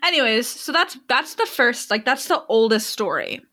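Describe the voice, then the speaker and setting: A woman's voice: fairly high pitch, lively intonation, normal speed, pushed and bright. A young woman casually retelling a book's plot to a friend, rambling with lots of fillers and restarts. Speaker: storyteller recapping a book. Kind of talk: casual chat.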